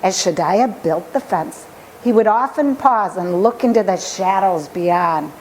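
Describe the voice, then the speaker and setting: A woman storyteller reading a children's picture book aloud with expressive, deliberate phrasing. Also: medium pitch, a little hiss or hum, poor audio.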